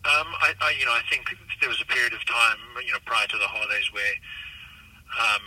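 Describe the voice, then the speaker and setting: A man with an American accent giving halting, measured interview answers as a city official. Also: mid-range voice, sharp tone, a little hiss or hum, rough recording. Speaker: city manager being interviewed.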